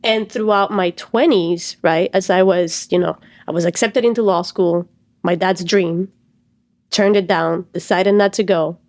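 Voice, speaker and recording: A woman's voice: fairly low pitch, steady tone, measured pace, darkish tone. A woman in her thirties from Cuba, a stress and anxiety coach, explaining her story earnestly as a podcast guest. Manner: earnest, explains at length with fillers. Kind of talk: reflective interview talk.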